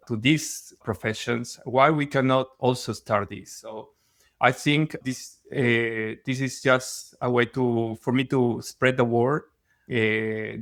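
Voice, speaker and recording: Halting man from Peru, dry close sound. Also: mid-range voice, a bit bright, no echo. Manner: halting, with restarts and non-native phrasing. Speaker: guest physician. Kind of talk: casual podcast interview.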